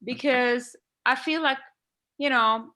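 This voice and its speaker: Bright, earnest woman on a video call. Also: sits high, slow pace. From Iran. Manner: heartfelt, rambling with many fillers. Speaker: podcast panelist.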